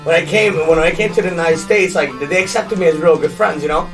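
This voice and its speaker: High-voiced young man from Pakistan, rambling. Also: fast talker. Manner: rambling, heartfelt, lots of "dude" and "like". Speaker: podcast guest. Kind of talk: casual chat. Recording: far from the mic, with guitar music.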